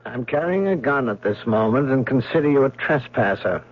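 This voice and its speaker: Radio-play guardian: hard, threatening man giving orders, husky edge, dulled old broadcast sound. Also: mid-range voice, animated, a little fast, muffled recording. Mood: cold threatening. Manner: commanding, threatening. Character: stern, menacing male guardian threatening an intruder. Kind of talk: radio drama acting.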